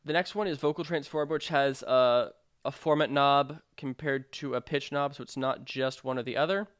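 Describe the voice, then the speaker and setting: A man narrating a software tutorial in an informal, explanatory way with hesitant phrasing. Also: mid-range voice, fairly even intonation, moderate pace, clear, resonant tone, dry recording, clean studio sound. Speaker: sound design tutorial presenter.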